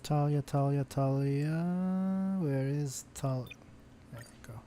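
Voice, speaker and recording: Brief: male streamer, very slow and mellow, quietly muttering through gameplay. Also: fairly high pitch, slight background noise. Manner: mutters to himself while playing. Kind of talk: casual gameplay commentary.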